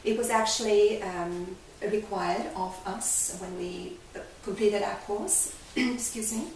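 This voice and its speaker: A woman's voice: medium pitch, fairly even intonation, slow. An older woman with a Hungarian accent, speaking earnestly as a life coach guest in an interview. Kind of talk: candid interview. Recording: echoey room, mic far off, faint hiss.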